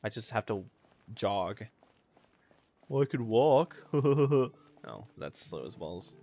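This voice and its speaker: A man's voice: lowish voice, big pitch swings, slow, dark tone, lo-fi sound. A man doing casual gameplay reaction commentary as a streamer, blunt, slangy and sweary. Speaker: gaming streamer. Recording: faint game music behind the voice.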